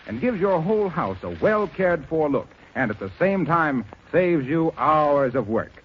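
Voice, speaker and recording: Polished, formal butler, fairly high-voiced. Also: male voice, slightly rough. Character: deferential, formal butler, a former radio announcer. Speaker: announcer playing a butler. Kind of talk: 1930s radio comedy acting and sponsor pitch. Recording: muffled, hissy 1930s radio recording.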